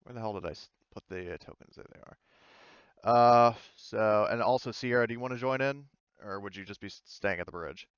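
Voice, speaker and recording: Smooth-voiced man running a game, dry sound. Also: lowish voice, dry recording, lo-fi sound. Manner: halting, conversational, mild swearing. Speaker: game master. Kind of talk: casual tabletop game narration.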